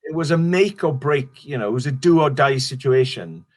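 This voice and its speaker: A man's voice: mid-range voice, animated, normal speed, a little mellow. Welsh man, rambling comedian. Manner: rambling, restarts sentences, swears casually. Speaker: stand-up comedian guest. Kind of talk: casual interview chat.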